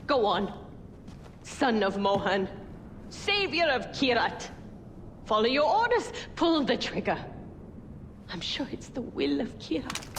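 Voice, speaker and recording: An actress playing a bitter, defiant young rebel leader who taunts with contempt. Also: female voice, on the high side, very animated, slow pace, pauses often, big-room sound, slight background noise, exaggerated voice. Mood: contemptuous.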